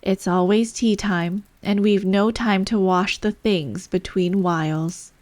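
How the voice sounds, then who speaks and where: A woman's voice: mid-range voice, steady tone, slow pace, a little mellow, no room sound, natural voice. A woman voicing an eccentric male hat-maker, haughty and mournful, in a storybook reading.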